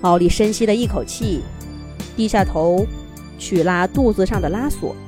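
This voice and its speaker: A woman's voice: medium pitch, normal speed, over music. A woman narrating a children's fairy tale expressively from a script, as a storyteller.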